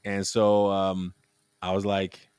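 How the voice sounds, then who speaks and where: A man with a somewhat low voice hosts a relaxed conversation and tells a long story in a loose, rambling way, often restarting his sentences. The sound is close and dry, with no room around it. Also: slow, smooth, dry recording. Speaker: podcast host. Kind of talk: casual conversational interview.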